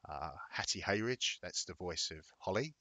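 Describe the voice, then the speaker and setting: A low-pitched man with an English accent talks through a tutorial in a chatty, informal way. His voice has a bright, forceful edge and is recorded close and dry, with words repeated for emphasis. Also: expressive, moderate pace, no echo. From England. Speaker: tutorial host. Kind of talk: casual explanatory software tutorial.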